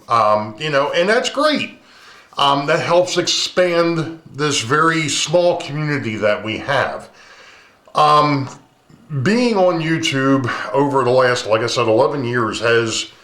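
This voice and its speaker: Casting: man with a booming, mid-pitched voice, rambling vlog host, camcorder mic, echoey room. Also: a bit bright. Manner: rambling, chatty, many ums. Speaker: model railroad channel host. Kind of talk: casual talk to camera. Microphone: camcorder's built-in mic, no lapel mic.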